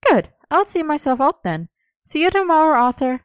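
A woman voicing a brisk, bossy but friendly young female costume maker in an audiobook story. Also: high-pitched, sing-song, no echo, lo-fi sound, pitched above the performer's own voice. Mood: cheerful. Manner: brisk, no-nonsense.

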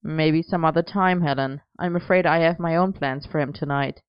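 A woman voicing a calm, dry-witted young man who speaks in a measured, unhurried way. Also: low-pitched, clean tone, dry recording, lo-fi sound, close to an everyday voice. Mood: wry.

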